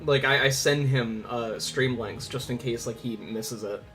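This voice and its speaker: A man's voice: medium pitch, measured pace, fairly bright tone. A man in his twenties chatting casually on a livestream, informal and rambling, with some swearing. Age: 20s. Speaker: livestream host. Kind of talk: casual livestream chat with viewers. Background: music.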